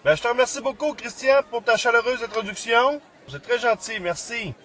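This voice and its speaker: Fast-talking Québécois podcast columnist man. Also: extremely high pitch, fairly bright tone, some chatter behind. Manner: quick, chatty listing with frequent fillers. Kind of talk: scripted podcast news segment.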